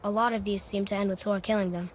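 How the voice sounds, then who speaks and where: A man's voice: sits high, level tone, quick pace. A young male actor playing a curious, blunt young boy in a video game, asking questions in a matter-of-fact way. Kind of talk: video game character dialogue.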